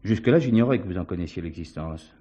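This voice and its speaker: A shrewd police commissioner in a stage mystery. His voice sits very low, and he speaks in measured, theatrical phrases. He questions his suspect with assured, ironic courtesy. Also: lively intonation, warm tone, muffled recording. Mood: assured, unmasking. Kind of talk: French stage mystery acting.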